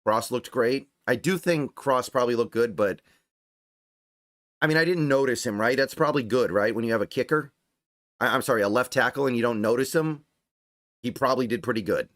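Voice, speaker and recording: Male livestream sports host, mid-range voice going slightly hoarse, conversational and off the cuff, dry close sound. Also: medium pitch, brisk, fairly bright tone, dry recording. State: voice getting strained, starting to go hoarse. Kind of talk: casual fan commentary, reading and answering viewer chat.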